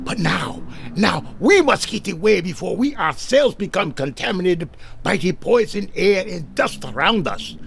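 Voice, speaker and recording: An actor playing a sinister, scheming doctor in a theatrical old-time radio drama style. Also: male voice, sits high, lively intonation, unhurried, creaky, fairly bright tone, slight background noise, heavily acted. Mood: urgent, commanding. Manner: theatrical, melodramatic. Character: scheming crooked male doctor with a sinister calm.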